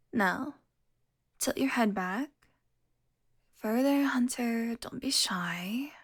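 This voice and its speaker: Sultry, taunting vampire played by a woman, higher-pitched. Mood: predatory, coaxing. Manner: taunting, sultry, drops into breathy whispers. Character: teasing, predatory female vampire, smug and flirtatious. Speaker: audio roleplay performer. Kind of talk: intimate audio roleplay acting.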